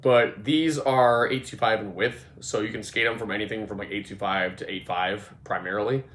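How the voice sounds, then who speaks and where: A man in his twenties with a voice hoarse from a cold, casually walking through his skateboard setup, full of fillers and restarts. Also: on the low side, fairly even intonation, moderate pace, fairly bright tone. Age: 20s. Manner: rambling, filler-heavy, moves around while talking. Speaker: skateboarding vlogger. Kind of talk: casual product walkthrough. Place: indoor room with gym mat. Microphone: phone or camera moved around. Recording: echoey room.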